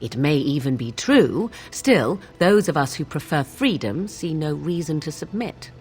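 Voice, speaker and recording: Wry, haughty young witch, lively inflection. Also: low voice, a little slow, faint music behind. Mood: disdainful lecturing. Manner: archaic, formal, mocking phrasing. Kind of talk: video game dialogue acting.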